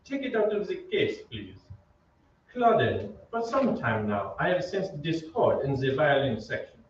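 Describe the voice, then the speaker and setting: A man's voice: on the high side, sing-song. A man playing an opera maestro in a radio drama, courteous, formal and politely firm. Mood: formal, stern. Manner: measured, courteous, authoritative. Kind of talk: old-time radio drama acting. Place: theatre stage, live reading. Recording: very echoey stage, distant, muffled, hiss.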